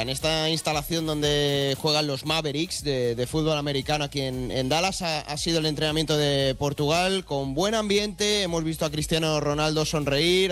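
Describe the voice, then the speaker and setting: A man's voice: a little high, a bit bright. A man with an accent from Spain giving a live, unscripted sports report on the radio, often repeating words as he searches for them.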